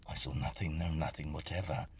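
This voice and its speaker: A man's voice: a bass voice, fairly even intonation, fairly quick, a little hiss or hum. A man voicing a frightened, fawning Victorian schoolmaster in a ghost story, faint, stammering and deferential. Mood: fawning, evasive.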